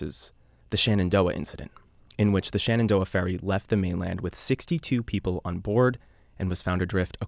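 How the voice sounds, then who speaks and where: A man playing an earnest island radio host, narrating an eerie mystery in a measured, conversational podcast style. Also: low-pitched, creaky, darkish tone, dry recording, clean studio sound. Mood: earnest, uneasy. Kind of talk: scripted audio drama narration, confessional podcast.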